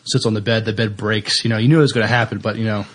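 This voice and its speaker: A man on a podcast panel in casual discussion, with a hesitant, halting delivery. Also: lowish voice, steady tone, fairly bright tone. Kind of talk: casual discussion of TV science fiction.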